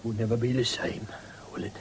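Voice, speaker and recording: An actor playing a rough, deaf old vagrant with a rural English accent in a radio drama, terse and muttering. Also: male voice, medium pitch, little pitch movement, a little fast, lightly acted. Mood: weary, grumbling. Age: older. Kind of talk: 1970s British radio drama acting. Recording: faintly hissy old radio broadcast.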